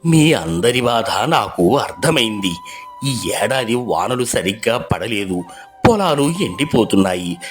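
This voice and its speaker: A man voicing an old, deaf village headman who speaks slowly and solemnly in a low voice. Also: natural voice. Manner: slow, low, ponderous speech. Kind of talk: storybook voice acting.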